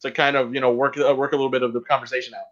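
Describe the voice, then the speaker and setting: Man, rapid talker, slightly muffled lo-fi sound, joking podcast host, casual chat. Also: medium pitch, level tone.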